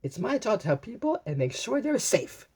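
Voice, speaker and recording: An upbeat cartoon policewoman, helpful and proud, as a woman storyteller puts her on. The voice is lifted high and has a slightly husky edge, with an exclamatory delivery. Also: sing-song, moderate pace, a little mellow. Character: helpful, upbeat female toy-town police officer.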